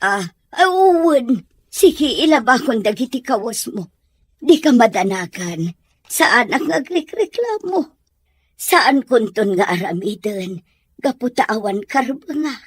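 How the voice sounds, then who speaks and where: Slow, meek Filipino nanny, acted by a woman. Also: on the high side, very animated, breathy edge, no room sound, heavily acted. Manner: submissive, gentle. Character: meek, weary, kindly household nanny. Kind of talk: amateur audio drama acting.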